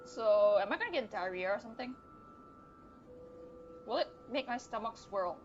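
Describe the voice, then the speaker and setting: A woman streaming a video game with casual, sarcastic, sweary commentary and deadpan jokes. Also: a little high, normal speed, highs cut off, a little hiss or hum. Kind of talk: casual live gameplay commentary. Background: video game music.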